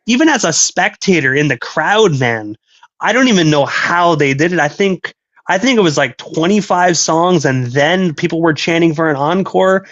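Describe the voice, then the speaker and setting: A man's voice: a little high, animated, normal speed, a bit bright, dry recording, highs cut off. A man hosting a casual radio interview, chatty and eager, asking long winding questions. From Canada. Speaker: radio host.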